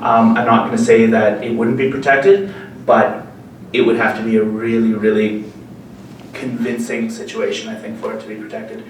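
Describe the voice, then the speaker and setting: A man's voice: fairly low pitch, normal speed. A man giving a live talk as a lawyer, joking with the audience and often restarting his sentences. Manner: joking, animated, many restarts and fillers. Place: meeting room with live audience. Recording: echoey hall, mic far off, faint noise.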